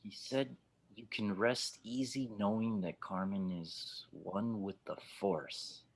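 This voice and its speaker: Man acting a husky, skeptical older partner. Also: lowish voice, fairly even intonation, takes it slowly. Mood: unsettled. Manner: dry, doubting. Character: gruff, skeptical male pilot, protective older partner. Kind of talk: audio drama table read.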